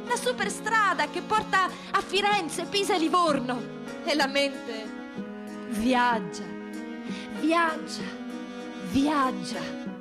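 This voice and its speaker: A woman's voice: high-pitched, animated, slow pace, pauses often, over music, pitched above the performer's own voice. A woman playing a wry, wistful prison inmate in a theatrical radio monologue, ironic and dreamy, lingering on her words.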